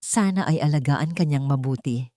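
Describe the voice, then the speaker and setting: A woman voicing a kindly, motherly older woman who is gentle, reflective and reassuring in an audio story. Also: low-pitched, normal speed, no room sound, clean studio sound, natural voice. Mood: nostalgic.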